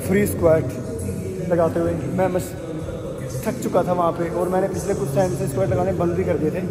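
A man chatting casually to camera as a fitness vlogger, on a handheld phone. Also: high-pitched. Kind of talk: casual vlog chat to camera, Hindi mixed with English. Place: outdoors on busy streets, later inside a gym. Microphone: phone held at arm's length. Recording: noisy street and gym ambience, traffic.

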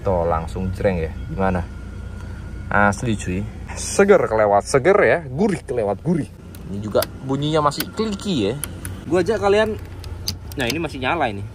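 Casual male car seller, enthusiastic and slangy, medium pitch, handheld recording with clear background noise. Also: expressive, moderate pace. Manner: informal, slangy, enthusiastic sales talk. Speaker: car seller presenting a used car. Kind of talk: casual walkaround review to camera. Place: outdoors by a car and inside it.

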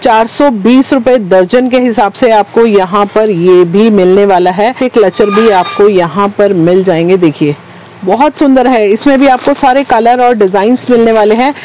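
A woman's voice: medium pitch, moderate pace, darkish tone, slight background noise, rough recording. A woman hosting a shopping vlog, presenting wholesale goods in a repetitive patter of stock phrases. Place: small wholesale market shop, indoors.

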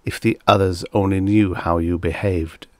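A man's voice: deep voice, fairly even intonation, normal speed, darkish tone, no room sound, lightly acted. A man voicing a stern, dutiful male wind creature with a stiff, stubborn, scolding manner in a narrated story. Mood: angry, scolding.

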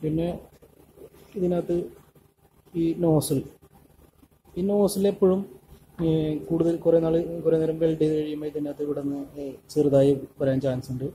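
A man with a Malayali accent giving a hands-on welding tutorial, halting, with many filler sounds and restarts, recorded on a camera mic at a workshop table. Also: on the high side, little pitch movement, warm tone, slight background noise, poor audio. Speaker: welding instructor.

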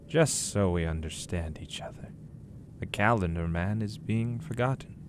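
Man as imprisoned madman, low voice, cryptic, menacing, radio drama, noisy background. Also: normal speed, lightly acted. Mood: sly menace. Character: creepy, calculating imprisoned male madman. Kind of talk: radio noir acting. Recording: clear background noise.

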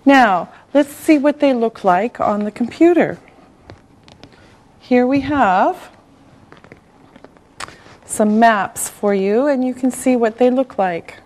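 Very slow, deliberate woman teacher. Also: on the high side, darkish tone. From Canada. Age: middle-aged. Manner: deliberate, enunciates word by word, repetitive. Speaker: English teacher. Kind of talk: slow classroom language lesson. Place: television studio classroom set.

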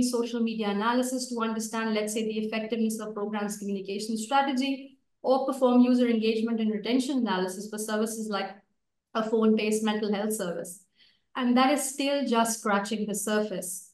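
A woman in her thirties, a native Urdu speaker, giving a prepared debate speech over a video call in a steady, list-like way. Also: a little high. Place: home room. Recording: echoey video call from far off.

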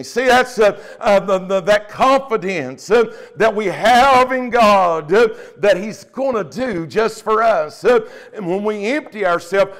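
A man's voice: sits high, fairly bright tone. A middle-aged man, preaching a Pentecostal sermon emphatically and punctuating it with amens. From United States. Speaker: pastor. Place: small church, at the pulpit indoors. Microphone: lapel mic.

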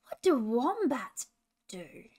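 A friendly kangaroo pal from a children's storybook, played by a woman, pitched on the high side. The character is curious and frank, asking questions and offering helpful explanations. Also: very animated, slow, darkish tone, husky, full character voice. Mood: helpful, explaining.

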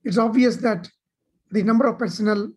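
A woman delivering a measured medical conference keynote over slides on a video call. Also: a little high, mellow, a little hiss or hum. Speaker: keynote speaker.

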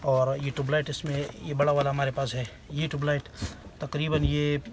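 A man's voice: a little high, level tone, moderate pace, noisy background. A man, casually showing goods and giving prices as a shopkeeper. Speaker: shopkeeper, seller. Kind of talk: casual product showing and pricing. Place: small cluttered market shop indoors. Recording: busy market shop, background chatter.

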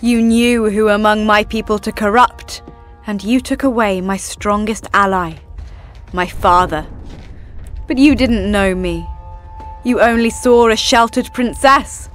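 A woman playing a defiant young princess who was wronged, speaking in a measured, menacing, dramatic way. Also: mid-range voice, faint music behind, lightly acted. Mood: cold defiance.

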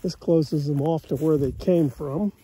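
A man's voice: a little high, steady tone, measured pace, dark tone, a little hiss or hum. An American man, a cattle farmer with a folksy, plain-spoken way, casually narrating his chores outdoors into a handheld phone. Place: outdoors in an open pasture. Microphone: handheld phone held by the speaker behind the camera.

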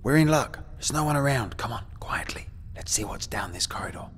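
An actor playing a brave, quick-thinking, urgent space adventurer in an audio drama. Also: male voice, mid-range voice, expressive, in a whisper, lightly acted. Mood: hushed, tense.

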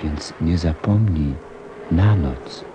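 A man playing a wistful pilot in an audio play, speaking a reflective, tender, halting monologue about a lost friend. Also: a bass voice, background noise. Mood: fond, animated. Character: wistful adult male pilot missing his lost young friend.

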